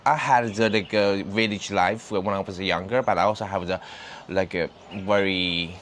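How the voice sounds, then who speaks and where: A man from Hunan whose first language is Chinese, chatting relaxed in halting English as an interview guest. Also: sits low, a little hiss or hum. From Hunan, China. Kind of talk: casual chat.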